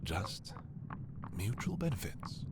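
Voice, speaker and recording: Casting: male actor as a dark romantic prince, low-voiced, commanding, possessive, with widely swinging intonation, for fantasy ASMR roleplay. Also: big pitch swings, heavy background noise, exaggerated voice. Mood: tender, possessive. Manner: slow, deliberate, imperious yet intimate. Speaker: audio roleplay performer.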